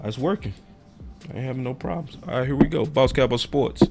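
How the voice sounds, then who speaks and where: A hoarse, raspy-voiced man hosting a sports call-in show, talking in an emphatic, slangy, animated way. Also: medium pitch, brisk, over loud music. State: hoarse, voice going in and out. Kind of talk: passionate sports commentary to callers and viewers.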